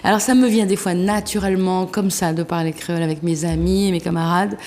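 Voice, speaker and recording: A woman's voice: lowish voice, fairly even intonation, a little fast, darkish tone. A woman in her fifties from Réunion, chatting as a guest in an interview and laughing often. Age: 50s. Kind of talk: casual interview chat. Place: TV studio. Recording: reverberant room with faint noise.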